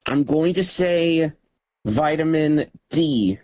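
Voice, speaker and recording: A man's voice: fairly high pitch, expressive, slow, a little mellow, no echo, rough recording. A man competing as a contestant on a live game show, eager and playful. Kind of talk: casual game play.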